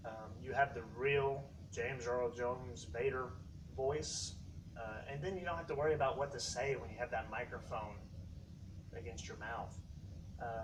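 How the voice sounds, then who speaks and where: A man casually demonstrating hobby gear as a video host, explaining in a halting, rambling way. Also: medium pitch. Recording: distant mic, faint background noise.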